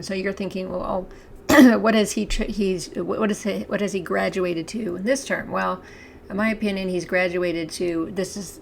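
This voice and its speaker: A hoarse woman chats to camera in a halting, meandering way, often pausing to clear her throat. Her voice sits at a middling pitch with a gravelly fry, and faint background noise runs underneath. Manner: chatty, halting, with frequent restarts and laughs. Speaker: channel host. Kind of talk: casual talk to camera.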